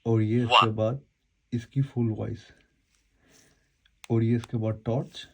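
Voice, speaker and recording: A man's voice: mid-range voice, level tone, slow, creaky. A man with a mid-range voice presents a casual product demonstration. He explains things to his viewers in a rambling, conversational way, often going back to repeat or correct what he has just said. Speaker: host of an unboxing and review video.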